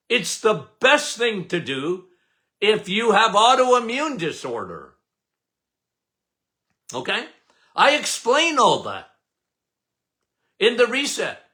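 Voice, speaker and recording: Loud, lively older man host. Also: high voice. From Canada. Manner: loud, emphatic, repeats "okay?" often. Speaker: podcast host. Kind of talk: casual live talk.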